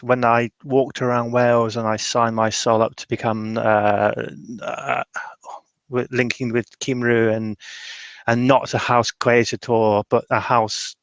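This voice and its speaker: A man acting a bitter, tormented mage, halting delivery. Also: medium pitch, no echo, natural voice. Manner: halting, emotional, swearing, trailing off mid-sentence. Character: tormented adult male mage, bitter, regretful, defensive. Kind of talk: improvised tabletop roleplay monologue.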